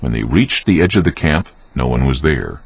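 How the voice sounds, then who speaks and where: Very deep, gravelly male scripture narrator. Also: animated, moderate pace. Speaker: narrator of a dramatized Bible audiobook. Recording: dull, muffled audio.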